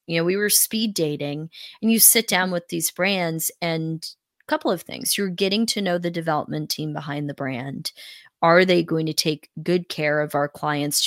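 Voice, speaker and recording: A woman's voice: low-pitched, fairly bright tone, dry recording, clean studio sound. A woman co-hosting a business podcast, cheerful and chatty, explaining things point by point. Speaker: podcast co-host. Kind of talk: casual business podcast chat.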